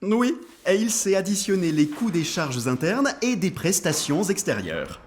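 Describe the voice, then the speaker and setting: A man voicing a friendly, reassuring machine buddy who explains things in a chummy, animated way in an educational cartoon. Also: sits high, measured pace, faint music behind. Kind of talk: scripted educational cartoon dialogue.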